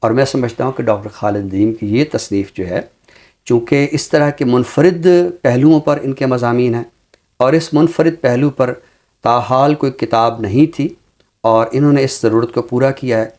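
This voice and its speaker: Male literary scholar, Pakistani accent, mid-pitched voice, formal and explanatory talk-show discussion. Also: normal speed, a little mellow.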